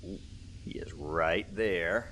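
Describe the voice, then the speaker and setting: Low-voiced man giving gameplay commentary. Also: slow, fairly bright tone. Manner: explains his tactics as he plays. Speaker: let's play host. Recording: clear game noise behind voice. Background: video game sound effects.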